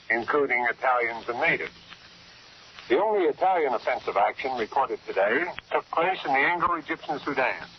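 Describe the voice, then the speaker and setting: A man working as a radio correspondent, reading a scripted 1940s news report in a formal, measured manner over an old shortwave broadcast. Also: mid-range voice, lo-fi sound, slight background noise.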